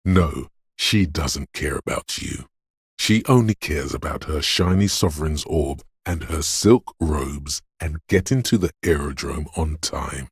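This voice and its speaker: Very deep, gravelly, menacing inner voice. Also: male voice, no room sound, clean studio sound, exaggerated voice. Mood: cold contempt. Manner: ominous, slow, deliberate delivery. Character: menacing, paranoid inner voice of primal fear and aggression. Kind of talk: dramatic second-person game narration.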